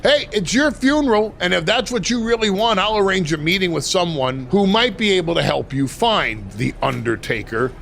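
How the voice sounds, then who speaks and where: An actor playing a sly, grandiose retired wrestling manager in a video game, with ominous, theatrical delivery and deliberate dramatic pauses. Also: male voice, high-pitched, lively intonation, vocal fry, a bit bright, a little hiss or hum, full character voice. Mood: resigned. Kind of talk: video game cutscene dialogue.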